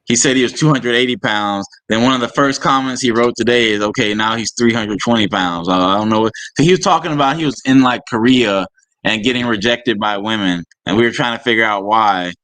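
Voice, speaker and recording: A man's voice: mid-range voice, fairly quick, a bit bright, rough recording. A man co-hosting a live stream, talking hesitantly with many restarts. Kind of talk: casual live stream chat.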